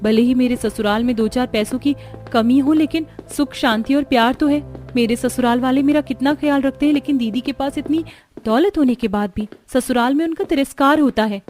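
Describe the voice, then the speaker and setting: A young woman voicing an emotional married sister in a cartoon family drama, with expressive delivery. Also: sits high, a little fast, soft background music, close to an everyday voice. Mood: wistful, troubled.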